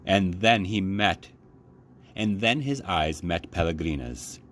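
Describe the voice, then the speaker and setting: A man reading a children's book aloud in an expressive storytelling style. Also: deep voice, slow. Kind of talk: expressive read-aloud narration. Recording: faint background noise.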